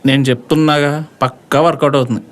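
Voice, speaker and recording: A man's voice: a little high, fairly even intonation, fast talker, close to an everyday voice. A man voicing a cocky, sarcastic young college friend in a comedy audio drama, full of schemes and slangy mockery, with music behind. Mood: cocky, scheming. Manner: mocking, slangy banter.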